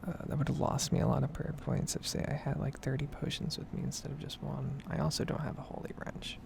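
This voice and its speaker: A woman softly whispering a casual, rambling ASMR commentary over a game. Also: a bass voice, level tone, fast talker, vocal fry, background noise. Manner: soft-spoken, rambling, casual asides. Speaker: gaming video creator.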